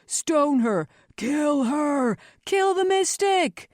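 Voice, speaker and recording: A woman voicing frenzied, accusing townsfolk in an audiobook, shouting shrilly. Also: very high voice, a little slow, dry recording, clean studio sound, pitched above the performer's own voice. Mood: bloodthirsty. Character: frenzied angry townsfolk mob baying for blood. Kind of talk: audiobook character voice.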